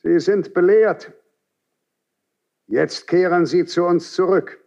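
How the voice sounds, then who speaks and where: An actor playing an aged, unyielding Grand Inquisitor in a classical German radio drama, speaking in a severe, measured, declamatory and reproachful way. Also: male voice, high voice, creaky, a little mellow, heavily acted. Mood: stern, commanding. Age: older. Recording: dull 1970s radio drama recording.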